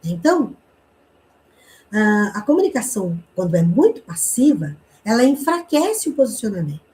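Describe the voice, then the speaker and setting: A woman's voice: mid-range voice, big pitch swings, normal speed, mellow. A woman teaching a communication class, explaining points with rhetorical questions and frequent tag questions. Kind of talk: online class lecture.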